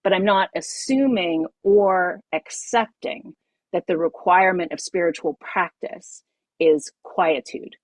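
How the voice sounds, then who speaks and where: A middle-aged woman, a yoga teacher, leading a group masterclass over a video call, emphatic and expressive, repeating her key phrases. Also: fairly low pitch, a little slow, dry recording.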